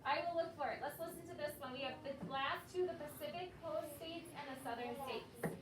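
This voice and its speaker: A woman with a high voice leads an elementary classroom lesson, asking questions and echoing pupils' answers with approval. She is picked up from a distance in a roomy space, with clear noise behind her. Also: fairly quick. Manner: affirming, repeats pupils' answers back. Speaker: elementary school teacher. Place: school classroom.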